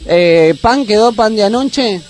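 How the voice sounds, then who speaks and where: Male Argentine host, high voice, informal cooking talk, colloquial and full of diminutives. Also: expressive, takes it slowly, a little mellow. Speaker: host of a youth culture radio cooking segment. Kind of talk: casual cooking chat.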